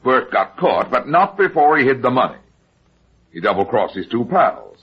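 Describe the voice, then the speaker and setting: An actor playing a wisecracking, unflappable heavyset private detective in a vintage radio drama, wry and sardonic. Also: male voice, medium pitch, very animated, moderate pace, slightly rough, heavily acted. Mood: confident, explaining. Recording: muffled vintage radio recording.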